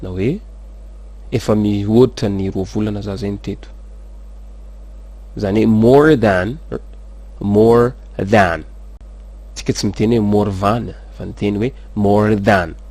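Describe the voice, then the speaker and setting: A man teaching English, saying model sentences slowly and switching quickly into rapid explanations. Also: on the low side, darkish tone, dry recording. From Madagascar. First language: Malagasy. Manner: slow, careful model sentences between fast explanations. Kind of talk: language lesson, explaining in Malagasy and French.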